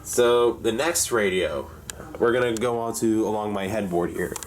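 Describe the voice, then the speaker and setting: Low-voiced man, casual hobbyist tour. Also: moderate pace, a little hiss or hum. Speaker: hobbyist presenting a radio collection. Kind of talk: casual collection tour commentary.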